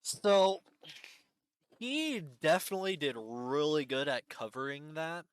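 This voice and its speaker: Clear-voiced man reacting casually, close dry sound. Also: fairly high pitch, lively intonation, takes it slowly, no room sound. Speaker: reaction video host. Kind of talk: casual unscripted reaction commentary.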